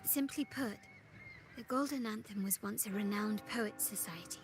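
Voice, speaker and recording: A woman's voice: medium pitch, moderate pace, natural voice. A woman playing a genteel, polished young lady telling local lore in a video game. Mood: hushed, informative. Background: loud game music.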